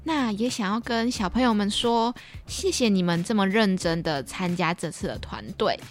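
A woman's voice: medium pitch, expressive, moderate pace, faint music behind. A woman with a Taiwanese Mandarin accent chatting casually as a podcast host. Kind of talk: casual chat.

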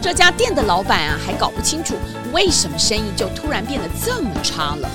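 A woman's voice: a little high, wildly swooping pitch, brisk. A woman with a Taiwanese accent narrating a story for children, speaking straight to young listeners in a singsong manner. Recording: music under the voice.

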